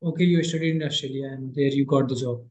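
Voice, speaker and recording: A man teaching Sanskrit grammar in an online class, patient and repeating phrases slowly, his English not his first language. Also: medium pitch, steady tone, warm tone, echoey room.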